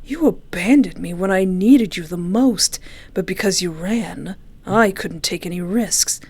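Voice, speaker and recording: A woman voicing a menacing, obsessed, disfigured ex-boyfriend with a hushed, breathy delivery, slow and scolding. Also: mid-range voice. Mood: cold, accusing.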